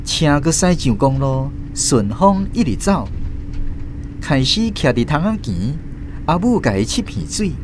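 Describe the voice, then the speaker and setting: Lively, higher-pitched Taiwanese male narrator. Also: measured pace. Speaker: drama narrator and host. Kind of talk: scripted radio drama narration. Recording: faint vehicle noise behind. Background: car or bus ambience.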